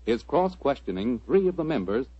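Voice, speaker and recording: A man in the classic 1940s radio announcer style. His voice is slightly breathy, in a middle register, with a salesmanlike delivery. The recording is muffled and dry. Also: mid-range voice, very animated, normal speed, dark tone, no echo. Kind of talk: 1940s radio sponsor announcing and scripted narration.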